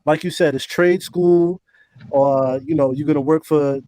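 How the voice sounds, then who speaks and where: A man co-hosts an interview in a relaxed, slangy, friendly way. His voice is mellow and slightly higher than usual, recorded dry and close. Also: measured pace, dry recording. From Trinidadian family. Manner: laid-back, slangy, friendly. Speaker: podcast co-host. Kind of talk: casual interview chat.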